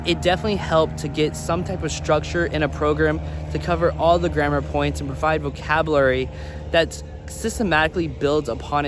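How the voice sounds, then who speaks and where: A man's voice: a little high, background noise. A man giving casual, instructional advice to viewers as a language-learning vlogger, repeating words for emphasis.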